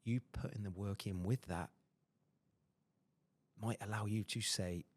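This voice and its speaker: A man hosting a podcast interview, talking quickly and emphatically with many fillers and restarts. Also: on the low side, fairly even intonation, no echo. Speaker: podcast host. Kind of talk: casual interview chat.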